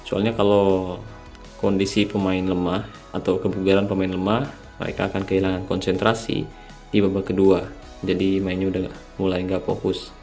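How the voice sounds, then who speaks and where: A man's voice: deep voice, completely flat delivery, moderate pace, a little mellow, faint music behind. A young man with a slightly nasal, sleepy voice, casually explaining a mobile game strategy and calling his viewers "guys". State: sleepy, late at night. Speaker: mobile game channel host. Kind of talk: casual gameplay tutorial voice-over.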